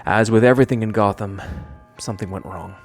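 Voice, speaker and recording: A man's voice: on the low side, steady tone, quick pace, soft background music. A man delivering scripted narration as the presenter of a video essay.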